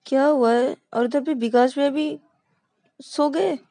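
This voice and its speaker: A woman's voice: high-pitched, fairly even intonation, unhurried, dark tone, pitched above the performer's own voice. A woman voicing a puzzled young man who exclaims in confusion on a phone call. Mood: bewildered. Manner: bewildered, exclaiming.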